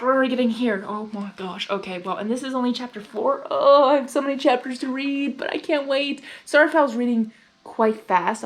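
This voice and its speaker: A woman reading fan fiction aloud as host, in an expressive storybook narration style. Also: fairly high pitch. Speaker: fanfiction reader and channel host.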